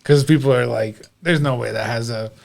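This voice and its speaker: A man's voice: mid-range voice, moderate pace, gravelly, a little mellow. A man on a podcast panel, talking casually with friends about whatever comes up. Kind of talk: casual chat.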